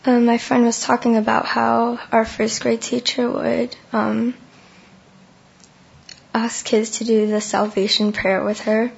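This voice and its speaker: Young woman who lost her voice, raspy and flat, sharing her faith at church, bit muffled. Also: fairly high pitch, a little slow. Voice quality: gravelly, husky. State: hoarse, lost voice. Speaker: baptism candidate giving testimony. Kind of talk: church testimony in short pauses for translation.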